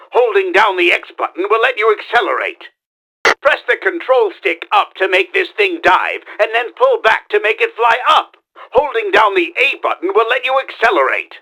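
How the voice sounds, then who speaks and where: A man playing a pompous middle-aged mad scientist villain in a video game, theatrical and exclamatory, with a gravelly, forceful voice. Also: sits high, exaggerated voice, low-quality recording. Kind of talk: video game tutorial voice lines.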